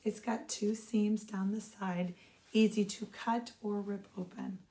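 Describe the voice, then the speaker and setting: Woman vlog host, hoarse and froggy, warm mellow tone, chatty show-and-tell. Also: mid-range voice, steady tone, a little slow. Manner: chatty, upbeat, calls viewers friends. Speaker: craft shop owner and vlog host.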